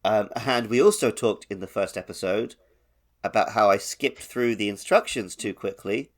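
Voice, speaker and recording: Male podcast co-host, lowish voice, informal gaming chat between friends. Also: moderate pace. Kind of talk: casual video game podcast chat.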